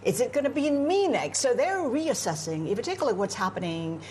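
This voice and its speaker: A woman's voice: a little high, big pitch swings, fairly quick, dark tone, slight background noise. A woman from Singapore, a news anchor, analysing world affairs in a live broadcast discussion with frequent fillers. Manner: fluent, analytical, many "you know" fillers. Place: on location in Beijing.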